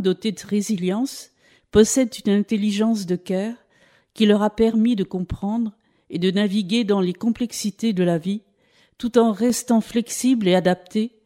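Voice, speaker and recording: A woman's voice: mid-range voice, moderate pace, warm tone. A woman narrator delivering scripted, reflective commentary.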